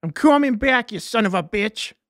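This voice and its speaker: An actor playing a menacing police officer with an East Coast American accent, curt and confrontational. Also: male voice, high-pitched, lively intonation, moderate pace, a bit bright, a little hiss or hum. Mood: pushy, confrontational.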